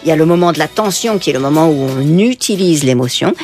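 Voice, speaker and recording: A Parisian woman, a psychotherapist guest on a radio show, explaining calmly in a teacherly way. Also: low voice, quick pace, soft background music. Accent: Parisian French. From Paris, France. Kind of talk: explanatory interview answers.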